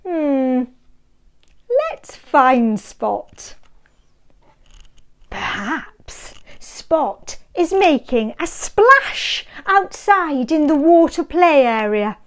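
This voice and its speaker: A woman reading a picture book aloud to small children, sing-song and inviting, drawing the listener in with questions and hushed counting. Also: sits high, animated, very slow. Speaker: storybook reader.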